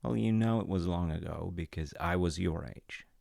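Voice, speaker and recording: A man voicing a caring, patient father in an audio roleplay. He talks softly and reassuringly, sometimes dropping to a whisper. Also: low-pitched, vocal fry, dry recording, clean studio sound. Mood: gentle. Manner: soft, reassuring, unhurried, drops to whispers at times. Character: caring middle-aged dad, gentle and patient. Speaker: voice actor.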